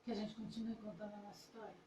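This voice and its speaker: A woman's voice: mid-range voice, level tone, very fast, a crowd in the background. A woman co-hosting a ministry live stream in casual, conversational talk. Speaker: ministry co-host. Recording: echoey, distant mic with background noise.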